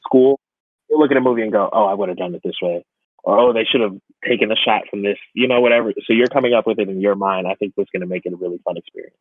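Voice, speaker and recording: A man's voice: fairly low pitch, racing, no echo, narrow, tinny audio. A young man who hosts a podcast, chatting casually about pop culture in a conversational way with wry asides. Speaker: podcast host.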